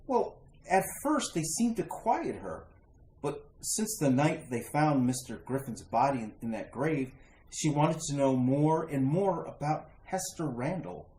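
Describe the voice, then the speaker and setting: A man's voice: on the high side, sing-song, measured pace, darkish tone. An earnest, worried husband played by a man protests in the manner of an old horror radio play. His hesitant, reasonable speech swings widely up and down. The sound is roomy and echoing. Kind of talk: retro radio horror acting.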